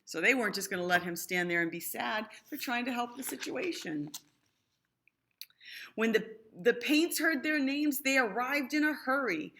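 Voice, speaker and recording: A woman's voice: a little high, expressive, moderate pace, a bit bright, a little hiss or hum. A woman reading a picture book aloud to young children, expressively, with explanatory asides. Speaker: storybook reader.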